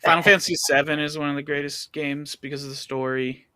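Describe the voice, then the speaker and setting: A man's voice: on the high side, steady tone, quick pace, pushed and bright, no echo, clean studio sound. A man, a show regular, in casual chat with friends about what he likes.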